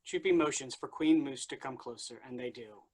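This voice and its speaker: A man's voice: mid-range voice, brisk, darkish tone. A man reading stage directions as scripted narration at a play reading.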